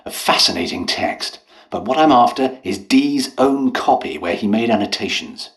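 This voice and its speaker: An actor playing a polite, eccentric British doctor and scientist in a video game, with refined, hesitant, apologetic and understated speech. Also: male voice, on the low side, lively intonation, normal speed, fairly bright tone. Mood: musing, professorial. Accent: British English. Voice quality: refined, well-spoken. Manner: courteous, hesitant, understated, chatty.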